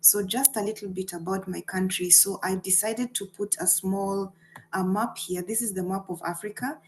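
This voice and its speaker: A woman's voice: mid-range voice, steady tone, normal speed, a little mellow, a little hiss or hum. A woman from Rwanda and Kenya giving an earnest presentation as a peace fellow over a video call, with frequent fillers and restarts. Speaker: guest speaker, peace fellow.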